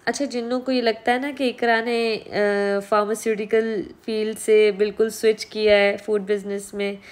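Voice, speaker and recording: A woman's voice: fairly high pitch, flat delivery, moderate pace. A young woman vlogging casually to a phone camera at home, explaining what she has learned running a restaurant and mixing in English words. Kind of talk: casual talking-head vlog. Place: small indoor room at home. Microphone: phone camera at close range.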